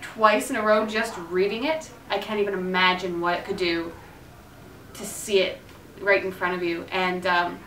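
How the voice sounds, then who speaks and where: A woman's voice: lowish voice, steady tone, moderate pace, fairly bright tone, slight background noise. A woman theatre director talking enthusiastically and off the cuff in an interview. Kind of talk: unscripted interview talk. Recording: roomy echo, distant microphone.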